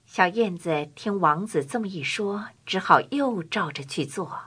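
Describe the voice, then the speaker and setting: Expressive woman narrating a storybook. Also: lowish voice, unhurried. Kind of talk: scripted children's storybook narration. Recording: clear music bed. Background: music.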